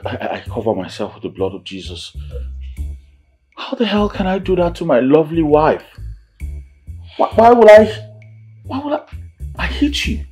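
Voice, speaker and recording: A man's voice: fairly high pitch, sing-song, moderate pace, pauses often, darkish tone. A man playing a domineering, hot-tempered husband in a melodramatic film, speaking emphatically. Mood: shaken, remorseful. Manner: emphatic, scolding outbursts. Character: domineering, hot-tempered husband swinging between scorn and tenderness. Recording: echoey room. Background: music.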